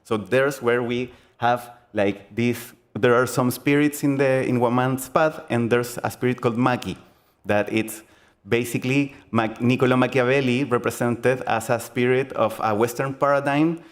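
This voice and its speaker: A young man with a Chilean accent, giving a conference talk on game design in a halting, earnest way, full of fillers and restarts. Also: mid-range voice. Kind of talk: conference presentation.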